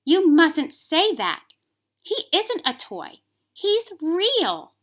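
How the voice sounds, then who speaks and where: Woman as a small boy, insistent, pleading, raised pitch, slow emphatic words, storybook character. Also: huge pitch swings, a bit bright, full character voice. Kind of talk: storybook read-aloud character voice.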